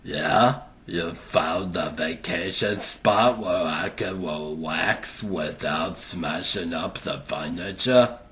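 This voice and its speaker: A man voicing a gruff, short-tempered, sarcastic superhero bruiser in a comic book cartoon dub. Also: low-pitched, slow, a bit bright. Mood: sarcastic grumbling.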